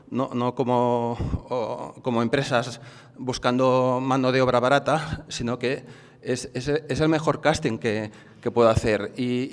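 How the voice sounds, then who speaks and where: A man who runs a plant nursery, speaking up from the audience at a conference, hesitant and full of fillers and repeated words. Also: medium pitch. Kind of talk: spontaneous comment from the floor. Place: conference hall. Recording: reverberant conference hall.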